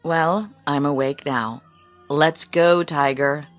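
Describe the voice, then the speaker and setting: Breezy wife, radio drama, lowish pitch, faint music behind. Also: female voice, fairly even intonation, slow pace, no echo. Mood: cheerful, coaxing. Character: breezy, dismissive wife humoring her agitated husband. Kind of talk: modern radio drama acting.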